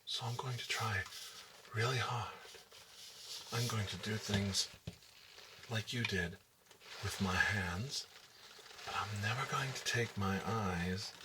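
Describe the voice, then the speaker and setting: A man performing an ASMR role-play as an eerie, softly menacing technician, in a breathy whisper with lingering, theatrical, repetitive phrasing. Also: takes it slowly, pauses often, distant mic, heavy background noise. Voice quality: breathy whisper mixed with soft low speech. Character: eerie male memory removal technician, softly menacing, nostalgic. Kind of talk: ASMR role-play, intimate dramatic monologue.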